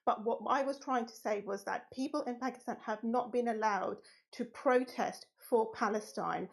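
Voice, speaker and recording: A British woman calling into a live audio space, speaking hesitantly with many pauses. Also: a little high, gravelly. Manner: hesitant, many ums, gets cut off.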